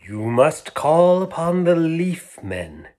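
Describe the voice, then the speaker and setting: A man's voice: a little high, big pitch swings, slow, warm tone, pitched above the performer's own voice. A man voicing a mysterious long-lost toy in a children's storybook, giving solemn instructions. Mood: solemn, urgent. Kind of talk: children's storybook read-aloud.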